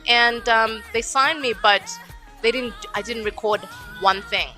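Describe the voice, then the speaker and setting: A young woman from Zimbabwe with a Zimbabwean accent softened toward American, telling her story candidly in a studio conversation and acting out what other people said. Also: a little high, a little slow, soft background music. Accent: Zimbabwean, toned toward American. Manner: animated, acts out quotes in other voices. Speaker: guest singer. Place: radio studio.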